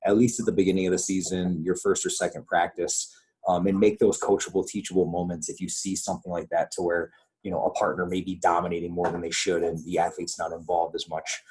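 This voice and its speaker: A man with a husky voice leading a coaches' conference call, explaining guidelines in an informal, conversational way. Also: deep voice, flat delivery, fast, a little mellow, poor audio. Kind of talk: informal instructional presentation with questions.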